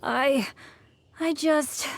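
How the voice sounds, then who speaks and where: A high-pitched, anxious youngster. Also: drawn-out words, creaky, slight background noise, full character voice. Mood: dejected. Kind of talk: video game voice acting.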